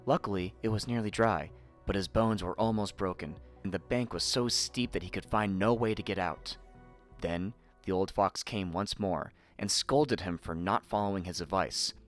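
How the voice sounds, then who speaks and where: A man narrating a fairy tale in an expressive, theatrical storytelling manner. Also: deep voice, moderate pace, faint music behind.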